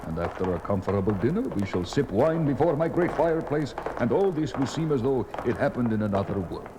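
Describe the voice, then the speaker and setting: An actor playing a courtly nobleman with hidden sinister intent, formal and theatrical in a 1970s horror audio drama, with a husky voice. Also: medium pitch, animated, brisk, noisy background, lightly acted. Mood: gracious, insistent. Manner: formal, smooth-talking, theatrical.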